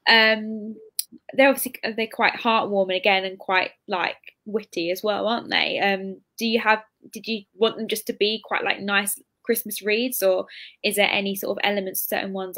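A woman's voice: mid-range voice, level tone, moderate pace, fairly bright tone. A British woman in her twenties hosting a casual live interview over a video call, chatty and informal. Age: 20s. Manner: chatty, informal, full of hedging asides. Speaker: interviewer, bookshop host. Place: indoor room, video call. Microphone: computer mic on video call.

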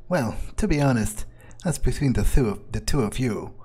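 A man improvising in character as he plays a video game, low-key and hesitant. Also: animated, moderate pace, mellow, slightly rough. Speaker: gamer roleplaying in character. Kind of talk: improvised in-character gameplay talk.

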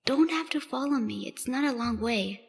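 A woman's voice: a little high, animated, moderate pace, full character voice. A woman playing a reserved, blunt young woman rancher with a terse, straight-faced manner in a radio play. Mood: polite, reserved. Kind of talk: radio play character dialogue. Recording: music playing behind. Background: clear background music.

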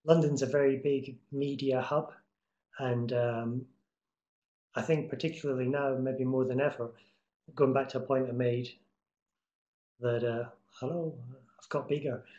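A man's voice: mid-range voice, fairly even intonation, measured pace, pauses often, dark tone, dull audio. A middle-aged man giving students casual, encouraging career advice on an online call, with lots of fillers and restarts. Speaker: guest speaker, TV promo editor. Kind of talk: online talk answering students' questions. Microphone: webcam on video call. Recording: echoey room over video call.

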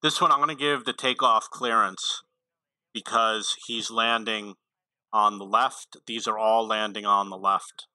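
A man's voice: lowish voice, fairly even intonation, a little slow, sharp tone, dry recording, rough recording. A man giving casual, unscripted tutorial commentary on a video game in a matter-of-fact way.

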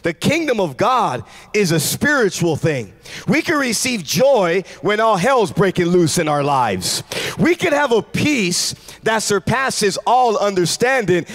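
A man in his forties preaching a sermon in a large church, speaking into a handheld mic with fervent, shouting delivery. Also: high-pitched. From United States. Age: 40s. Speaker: pastor. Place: large church stage with congregation.

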